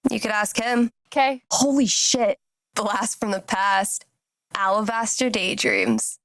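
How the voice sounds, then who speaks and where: Wry, unhurried young American woman. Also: medium pitch, no echo. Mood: earnest. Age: young adult. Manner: casual, teasing, deadpan. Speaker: video game voice actress. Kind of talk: naturalistic game dialogue.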